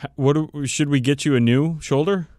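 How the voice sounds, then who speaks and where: A man's voice: a little high, normal speed, a little mellow, dry recording, clean studio sound. A man co-hosting a podcast in casual hobby chat, informal and enthusiastic, swearing freely. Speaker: podcast co-host.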